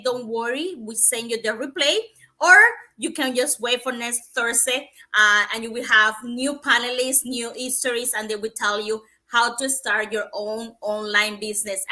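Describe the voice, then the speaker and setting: Bright, higher-voiced Cuban-born woman. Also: normal speed. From Cuba. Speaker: co-host. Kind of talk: hosting a live webinar show.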